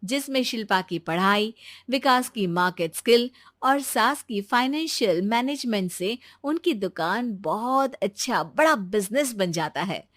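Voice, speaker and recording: Female narrator, scripted moral story, bright expressive read, dry close sound. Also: mid-range voice, dry recording.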